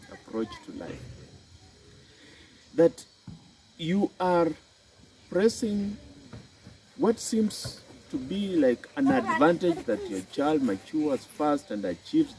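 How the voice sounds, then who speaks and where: A man with a Kenyan accent, a health and psychology specialist, giving a slow, deliberate talk to camera outdoors in a city. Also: darkish tone, a little hiss or hum, low-quality recording. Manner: slow and deliberate, with a swooping emphatic pitch.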